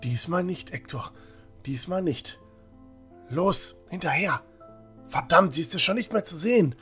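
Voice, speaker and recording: A man's voice: a little high, lively intonation, fairly bright tone, soft background music. Hesitant, nervous village lad played by a man. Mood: anxious, self-urging. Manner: self-urging, halting mutter. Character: shy lovesick village youth urging himself on.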